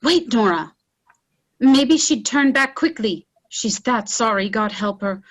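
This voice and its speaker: Casting: actress as capable young country daughter, high-pitched, earnest, reproachful, rural tragedy. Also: female voice, lively intonation, takes it slowly, a bit bright, full character voice. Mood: urgent, concerned. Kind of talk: stage drama read as virtual play.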